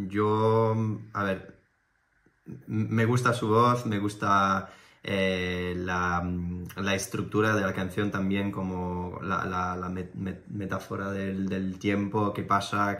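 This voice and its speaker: Deep, flat-voiced young man. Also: slow. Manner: short agreeing interjections, hesitant. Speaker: reaction channel co-host. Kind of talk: casual reaction chat. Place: living room at home. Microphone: fixed camera on a desk. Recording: roomy home echo.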